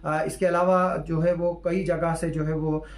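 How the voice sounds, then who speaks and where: A man's voice: fairly high pitch, flat delivery, unhurried. A man, giving solo news commentary to camera with many hesitant fillers. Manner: hesitant, heavy fillers, earnest. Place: small indoor room with curtain backdrop. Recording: echoey room.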